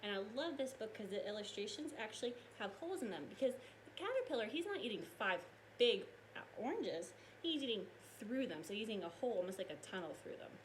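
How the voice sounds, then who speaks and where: A woman teacher reading aloud and explaining science to young children in an expressive, explanatory way. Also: medium pitch, quick pace, a little hiss or hum, low-quality recording.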